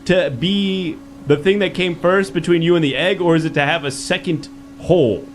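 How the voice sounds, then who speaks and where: A man's voice: a little high, normal speed, slight background noise. A man hosting a live improv comedy game show in a mock-grand announcer manner, often laughing through his lines.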